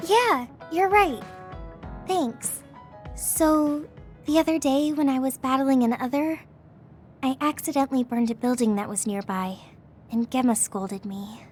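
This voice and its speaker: A woman's voice: high voice, a little slow, darkish tone, faint music behind. A woman voicing an earnest, self-doubting teenage girl soldier in an anime-styled video game, hesitant and sincere. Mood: downcast.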